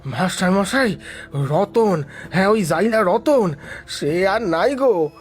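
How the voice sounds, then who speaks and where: A man's voice: high voice, lively intonation, slow. A man playing an alarmed villager bearing terrible news in a cartoon story, wailing in a rural Bengali dialect over prominent music. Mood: shaken grief. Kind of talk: dramatic cartoon story acting.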